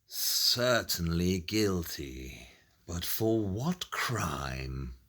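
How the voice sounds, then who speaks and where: Bright, animated Victorian detective. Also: male voice, low-pitched, exaggerated voice. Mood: analytical, assured. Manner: deliberate, theatrical, laying out cases point by point. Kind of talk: audio play acting.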